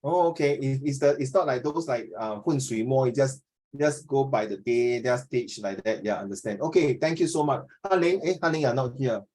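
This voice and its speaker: A man's voice: mid-range voice, moderate pace, darkish tone. Singaporean man in his fifties, questioning teacher. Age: 50s. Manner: questioning, repeats himself, tags sentences with "ah". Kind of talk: informal online class discussion.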